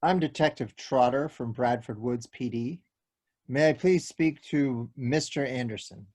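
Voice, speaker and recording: Actor as a polite cop, formal and apologetic, medium pitch, stage comedy. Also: male voice, unhurried, a little mellow, natural voice. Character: polite, earnest, awkward male police detective. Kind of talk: table-read stage comedy acting.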